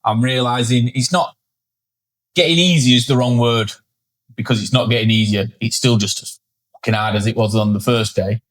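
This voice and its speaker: A man's voice: medium pitch, steady tone, moderate pace, poor audio. A tired man co-hosting a casual chat show, blunt and self-deprecating, swearing freely. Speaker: podcast co-host. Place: indoor studio setup, seated.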